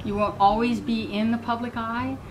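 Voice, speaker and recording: A woman's voice: on the high side, level tone, normal speed, background noise. A woman giving a psychic reading in an encouraging, affirming way. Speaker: psychic reader.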